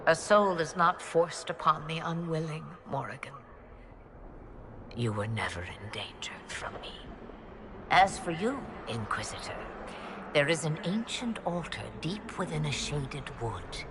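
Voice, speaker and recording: A woman voicing an ancient, commanding witch mother who speaks in slow, deliberate, ominous pronouncements. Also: low-pitched, big pitch swings, background noise.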